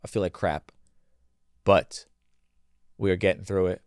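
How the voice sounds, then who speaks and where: A man hosting a solo podcast, with a somewhat low, smooth voice. He talks in a loose, opinionated, profane way, full of restarts, and sounds a bit congested and husky from a cold. The sound is close and dry, with no room around it. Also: steady tone, unhurried, darkish tone, no echo. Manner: blunt and casual, swears freely, many restarts. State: congested with a cold, losing his voice. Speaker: podcast host.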